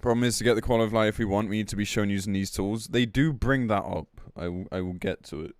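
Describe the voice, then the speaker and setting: Low-voiced man streaming, dry close sound. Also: fast talker, clean tone, no echo. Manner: blunt, sweary, exasperated. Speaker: game live streamer. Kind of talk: casual live-stream commentary with read-aloud announcement.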